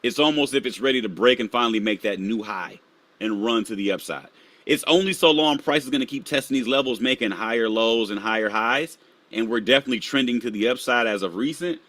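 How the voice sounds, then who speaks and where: Male stream host, mid-pitched, casual chart talk, dry close sound. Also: fairly quick, a bit bright, no echo. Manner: casual, talks to viewers while pulling up charts; drops to a low mutter while searching charts. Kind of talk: live market analysis.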